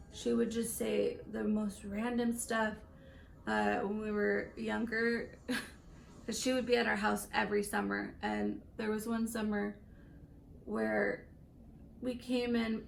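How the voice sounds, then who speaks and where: A woman in her late twenties with a raspy voice, chatting casually through a makeup tutorial and rambling between stories with laughs. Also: mid-range voice, slight background noise. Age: late 20s. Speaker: beauty vlogger.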